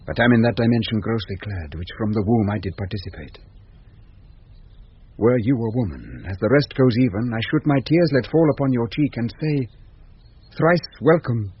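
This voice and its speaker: Young gentleman, British accent, 1970s radio Shakespeare, very husky, emotional declamation, muffled old broadcast. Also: fairly low pitch, animated, moderate pace. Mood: awed wonder. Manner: emotional, declamatory verse delivery. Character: young gentleman, ardent twin brother thought drowned.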